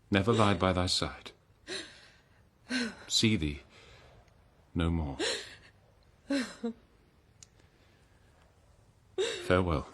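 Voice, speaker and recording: Betrayed noble king, low-pitched, very unhurried, declamatory, anguished, classical radio drama. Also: a little hiss or hum. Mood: mournful resignation. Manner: solemn, declamatory, archaic diction with long pauses. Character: betrayed noble old-world king, anguished, forgiving husband.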